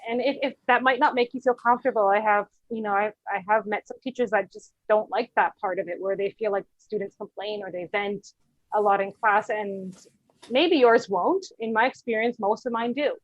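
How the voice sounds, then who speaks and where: A woman's voice: mid-range voice, normal speed, no room sound. A woman with a Vancouver Canadian accent talks casually as a podcast guest, explaining step by step with plenty of "um" and "like". Her audio sounds a bit dull and lo-fi. It is also very dry, with no room sound. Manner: conversational, explains step by step with fillers. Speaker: guest, English teacher.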